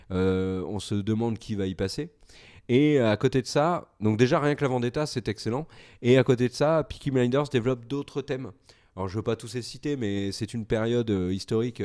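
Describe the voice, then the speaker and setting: A man's voice: on the low side, mellow. Hesitant French man reviewing a series. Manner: hesitant, many fillers and restarts. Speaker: video reviewer. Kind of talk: solo casual review to camera.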